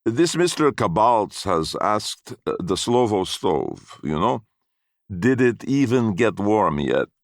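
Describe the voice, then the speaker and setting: A man doing a pompous, halting old immigrant. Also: lowish voice, sing-song, creaky, no echo, clean studio sound, voice pushed up, heavily acted. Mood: declaiming. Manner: broken word order, declaiming, heavy slow emphasis.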